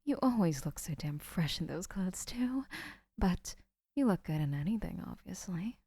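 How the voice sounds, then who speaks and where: A woman playing a doting, obsessive young woman who keeps the listener, speaking in a soft, whispery, sweetly possessive way in an audio roleplay. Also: fairly low pitch, animated, normal speed, gravelly, slightly rough, dry recording, exaggerated voice.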